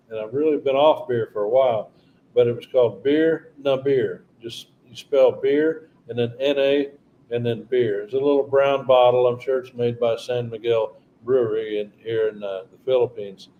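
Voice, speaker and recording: A man's voice: mid-range voice, warm tone, highs cut off, slight background noise. A middle-aged man hosting a casual live stream, rambling through memories and chatting with viewers by name. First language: English. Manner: rambling, reminiscing, talking to viewers in chat. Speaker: channel host doing a live stream.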